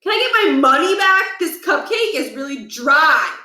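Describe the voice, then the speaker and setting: An eager schoolboy played by a woman reading a storybook with a high, swooping voice. He gushes and argues in slangy bursts with a whiny edge. The room is echoey. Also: big pitch swings, normal speed, bright, forceful tone, exaggerated voice. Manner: gushing, slangy, whiny complaining. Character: excitable, argumentative schoolboy, dim but eager. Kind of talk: children's storybook character voice. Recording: roomy, echoing room.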